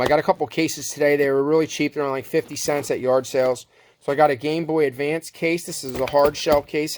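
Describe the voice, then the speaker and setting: Male reseller vlogger, mid-pitched, casual show-and-tell, unsure and meandering, with noticeable background noise. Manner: rambling, unsure, thinking aloud. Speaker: online reseller showing thrift finds.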